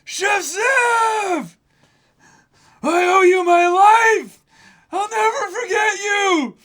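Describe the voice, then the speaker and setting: Cocky kid cook, very high, bratty, bright and rough-edged, manga read-aloud. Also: male voice, extremely slow, heavily acted. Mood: tearful gratitude. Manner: shouty, bratty. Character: brash young boy cook, cocky and emotional.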